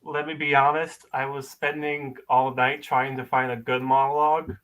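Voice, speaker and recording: Candid male caller, mid-pitched voice. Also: flat delivery, moderate pace, a bit bright, muffled recording. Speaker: auditioning caller. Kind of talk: casual explanatory chat.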